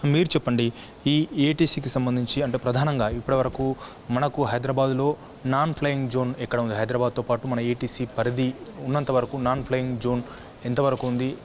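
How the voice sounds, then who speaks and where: A male reporter with a medium-pitched voice and an Indian accent conducts an on-location news interview. He asks explanatory questions and steers the talk along. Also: flat delivery, brisk.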